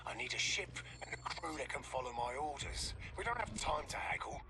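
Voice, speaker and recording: An actor playing a ruthless, hard-bitten renegade dealer in a video game, speaking in curt, threatening commands. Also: male voice, a little high, animated, fairly quick. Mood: cold, commanding. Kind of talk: video game cutscene acting.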